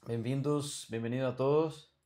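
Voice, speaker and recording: A man teaching a casual online class on Vedic studies and Ayurveda over a video call, relaxed and often checking in with his listeners. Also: medium pitch, unhurried.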